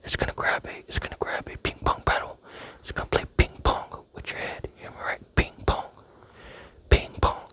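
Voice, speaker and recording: A man's voice: normal speed, a bit bright, dry recording. A young man rambling playfully in a close-miked ASMR whisper, with many fillers. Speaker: ASMR creator.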